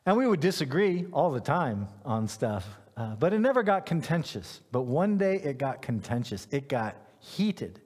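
A man's voice: medium pitch, very animated, normal speed, few pauses, a little mellow. A middle-aged man from Ghana, a pastor giving an informal, conversational sermon in an anecdotal, self-deprecating way. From Ghana, West Africa. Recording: long hall reverb.

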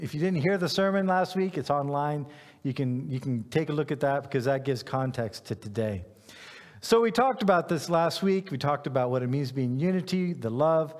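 A middle-aged man from Ghana, a pastor giving an informal, conversational sermon in an anecdotal, self-deprecating way. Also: a little high. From Ghana, West Africa. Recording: long hall reverb.

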